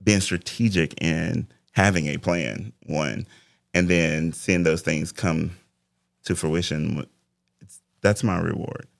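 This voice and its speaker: Forties male life coach, very low gravelly voice, dry close sound, thoughtful and faith-driven. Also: steady tone, takes it slowly, dry recording. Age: 40s. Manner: reflective, faith-driven talk. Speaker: interview guest, life coach. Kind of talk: casual interview conversation.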